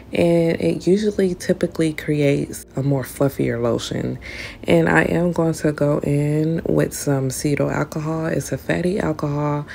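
A woman narrates a skincare tutorial, speaking casually and explaining each step straight to her viewers. Her voice sits low and gravelly, with a stuffy, congested edge from recent illness. There is a faint hum of noise in the background. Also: warm tone.

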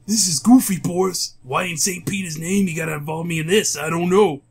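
A man's voice: high-pitched, a little fast, husky. A man voicing a squeamish, nervous henchman in a comic book audio drama, whiny and anxious. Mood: squeamish grumbling.

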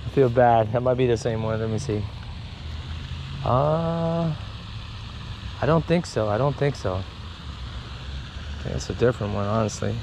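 A man giving casual running commentary to viewers while fishing at a park pond, getting excited over each catch. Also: medium pitch, measured pace, long pauses. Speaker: fishing vlogger. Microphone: head-mounted action camera. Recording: outdoor camera audio with noise.

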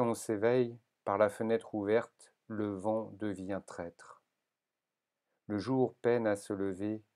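A man reading poems aloud in a solemn, measured manner, leaving pauses between short phrases. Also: lowish voice, darkish tone. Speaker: poetry reader.

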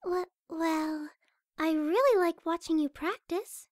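A woman voicing a shy, gentle young cartoon pegasus pony with a hesitant, stammering delivery. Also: very high voice, slow pace, no echo, clean studio sound. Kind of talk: amateur cartoon fan audio drama.

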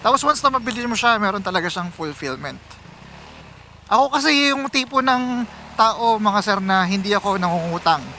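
High, bright-voiced Filipino man vlogging. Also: lively intonation, normal speed, a little hiss or hum. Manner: conversational, mixing in English phrases. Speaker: motorcycle vlogger. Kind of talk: casual vlog chat to viewers while riding. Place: outdoors, riding a motorcycle on city roads.

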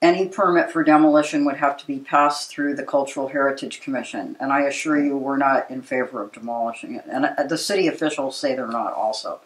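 A woman's voice: rumbling low, fast talker, hardly any pauses. Older American woman in an echoey room. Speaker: local historian and preservation advocate interviewee. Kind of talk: conversational interview. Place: living room with books, indoors. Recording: roomy, echoey living room.

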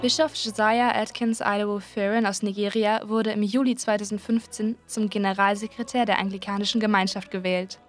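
A woman narrating a documentary in an even, informative reading style. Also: mid-range voice, fairly quick, few pauses.

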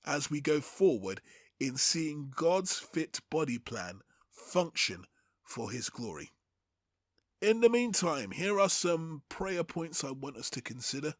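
A man hosting a Bible teaching, speaking in a deliberate, emphatic, phrase-by-phrase sermon style. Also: mid-range voice, no echo, clean studio sound. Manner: deliberate, emphatic, phrase-by-phrase delivery with rhetorical questions.

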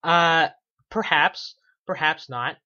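A podcast co-host talks casually and hesitantly, with many fillers. The voice is bright, and the recording sounds dull and lo-fi. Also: medium pitch, takes it slowly. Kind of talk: casual chat.

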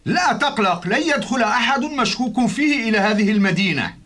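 A man's voice: very high-pitched, expressive, a little fast, fairly bright tone. An actor playing a man of a desert town in a dubbed cartoon. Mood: reassuring, obedient.

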